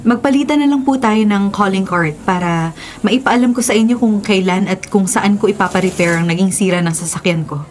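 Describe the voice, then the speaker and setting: An actress playing a polite, composed female driver in a radio drama, speaking in a courteous, measured way. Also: mid-range voice, slight background noise, close to an everyday voice. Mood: polite, understanding. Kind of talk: Filipino radio drama acting.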